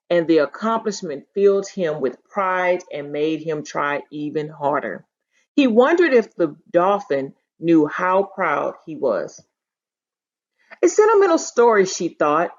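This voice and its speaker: A woman teacher reading a children's novel aloud in an animated storytelling manner, in a dull lo-fi recording. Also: on the low side. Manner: animated storytelling, putting feeling into dialogue. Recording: dull lo-fi sound.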